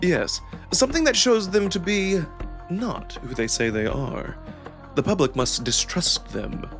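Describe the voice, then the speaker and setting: A man acts out a young male jackal prince in a visual novel. He is smug and calculating, with courtly politeness and a very lively, swooping intonation over soft music. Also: high voice, voice pushed up, heavily acted. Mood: calm, calculating. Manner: measured, teasing, theatrically polite.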